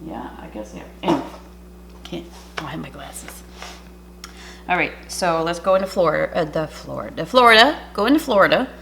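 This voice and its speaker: A woman's voice: lowish voice, expressive, brisk, creaky, pauses often, noisy background. A woman whose first language is French, a cooking YouTuber chatting and rambling through an air-fryer demo in her home kitchen. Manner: chatty, rambling, self-interrupting.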